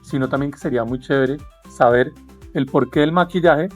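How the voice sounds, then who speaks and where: A man's voice: medium pitch, moderate pace, soft background music. Colombian man, encouraging interviewer. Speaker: interviewer for the association. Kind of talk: casual interview.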